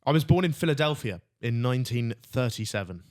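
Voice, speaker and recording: A man's voice: mid-range voice, expressive, brisk. A man co-hosting a casual comedy podcast, joking around and running a quiz game. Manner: jokey, runs a quiz segment. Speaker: podcast co-host.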